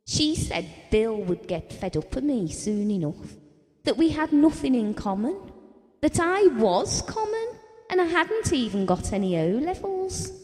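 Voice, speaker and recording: Wistful British wife, slow and mellow. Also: female voice, high voice, heavily acted. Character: gentle, devoted, self-doubting middle-aged working-class wife and mother. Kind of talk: audio drama monologue, reminiscing. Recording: reverberant hall-like room.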